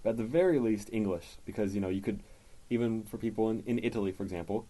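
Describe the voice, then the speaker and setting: A man's voice: fairly low pitch, quick pace, rough recording. A man hosting a podcast, chatting casually and reading out listener questions. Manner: relaxed and chatty, reads listener mail aloud. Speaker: podcast host.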